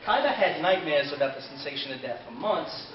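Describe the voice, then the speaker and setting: A man's voice: on the high side, animated, fairly quick, background noise. A man presenting an informal convention panel about an anime, telling story events to an audience as if he took part. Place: convention panel room, indoors. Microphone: distant camera microphone. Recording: roomy, dull, distant camera with audience.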